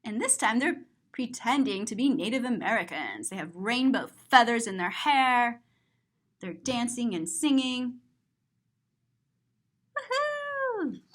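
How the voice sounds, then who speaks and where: Slow, animated woman reading to children. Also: on the high side. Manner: expressive, explains words simply to young children. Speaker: story-time host, teacher. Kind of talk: children's picture-book read-aloud with simple commentary.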